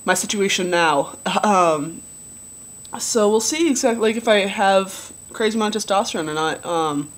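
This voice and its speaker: A young man with a relatively low voice giving a casual video diary update, rambling with many ums and likes. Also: lively intonation, moderate pace, a bit bright. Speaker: vlogger.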